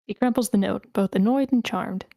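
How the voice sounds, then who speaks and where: A woman's voice: mid-range voice, moderate pace, vocal fry, warm tone, no room sound, clean studio sound. A woman reading stage directions as narrator at a screenplay table read over an online call. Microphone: video call.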